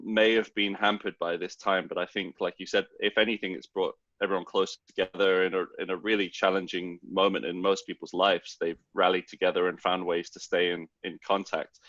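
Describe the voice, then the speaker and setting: Scottish man, low voice, reflective interview guest on a muffled remote line. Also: fairly bright tone, no echo. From Scotland. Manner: thoughtful, explains at length with restarts. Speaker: co-founder guest. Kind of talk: casual interview talk.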